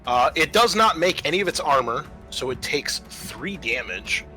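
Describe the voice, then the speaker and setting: Bright-voiced male game master. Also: on the high side, expressive, normal speed, faint music behind. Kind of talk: running a tabletop roleplaying game, improvised rulings.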